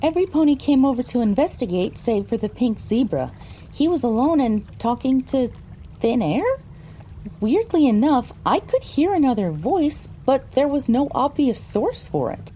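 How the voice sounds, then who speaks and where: A woman's voice: high-pitched, big pitch swings, normal speed, warm tone, a little hiss or hum, rough recording. A woman voicing a pony who narrates her own story, reading scripted first-person audio drama narration. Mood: uneasy.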